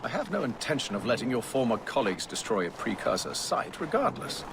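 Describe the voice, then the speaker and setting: An actor playing an authoritative, composed Grand Master in a game, formal and measured. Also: male voice, mid-range voice, sing-song, noisy background. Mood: resolute. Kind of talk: dramatic game cutscene acting.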